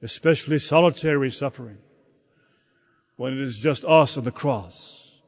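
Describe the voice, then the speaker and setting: A man preaching a sermon in a slow, halting delivery, often repeating words as he searches for the next phrase. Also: mid-range voice. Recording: long hall reverb.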